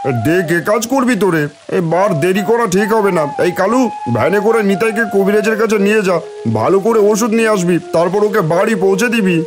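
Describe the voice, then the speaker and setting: A man voicing a bossy village landlord in a cartoon, brisk, commanding and scolding. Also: high-pitched, music in the background, natural voice. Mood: impatient. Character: bossy village landlord ordering his workers about. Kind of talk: Bengali fairy-tale cartoon dubbing.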